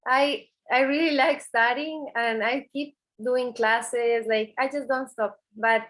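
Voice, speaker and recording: A woman speaks in a fairly high voice. She answers earnestly, often restarting her sentences and filling pauses with "eh". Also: fairly even intonation, fairly bright tone. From Ecuador. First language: Spanish. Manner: earnest, many restarts and "eh" fillers. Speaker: artist guest. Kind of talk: interview answers.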